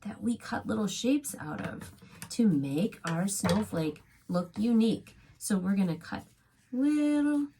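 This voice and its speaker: A woman's voice: mid-range voice, animated, measured pace, mellow, slight background noise. A woman teaching a craft step by step to young children, speaking as a preschool teacher in simple, encouraging phrases.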